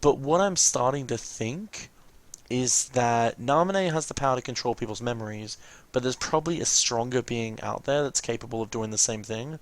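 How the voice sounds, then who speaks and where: A man's voice: mid-range voice, moderate pace. A man giving casual reaction commentary over game cutscenes, explaining and musing as he goes. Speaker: let's watch host. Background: game music behind the voice.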